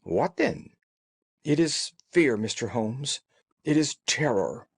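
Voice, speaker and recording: A man's voice: mid-range voice, lively intonation, slow, vocal fry, slightly rough, no room sound, heavily acted. Man voicing a distraught, pleading genteel young woman. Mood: fearful. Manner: agitated, pleading, formal. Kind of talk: audiobook character dialogue.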